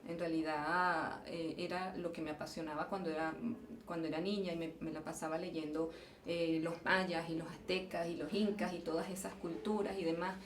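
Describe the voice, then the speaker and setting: Venezuelan woman, thoughtful and halting. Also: on the low side, level tone. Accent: Venezuelan Spanish. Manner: thoughtful, many restarts and repeated words. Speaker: interviewee, geological engineer. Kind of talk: conversational interview. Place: event venue, indoors. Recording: roomy, distant mic, faint noise.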